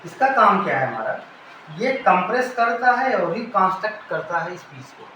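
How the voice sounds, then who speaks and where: Indian guy teaching tech stuff informally, kinda high voice, echoey room w some noise. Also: sing-song, pushed and bright. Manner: explanatory, repeats key terms slowly. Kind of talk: informal technical lesson.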